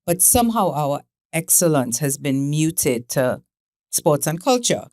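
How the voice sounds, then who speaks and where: Female co-host, low voice, emphatic talker, dry sound. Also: big pitch swings, a little slow, mellow, no echo. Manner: emphatic, repeats words, seeks agreement. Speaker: podcast co-host. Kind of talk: casual interview chat.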